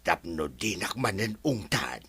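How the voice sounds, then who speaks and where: A man acts out a loyal older driver who laughs at his own jokes. His voice is bright and husky, and it swoops widely up and down. He talks slowly. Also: low-pitched, sing-song, full character voice. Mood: worried. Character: older male driver, jokey, loyal servant.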